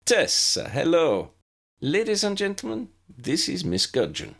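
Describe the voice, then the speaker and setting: A suave Italian professor, voiced by a man reading a storybook, theatrical and courteous. His voice swoops widely and has a gravelly grain, with playful, gallant charm. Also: on the high side, huge pitch swings, measured pace, pitched above the performer's own voice, full character voice. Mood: welcoming. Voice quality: warm, smooth, musical.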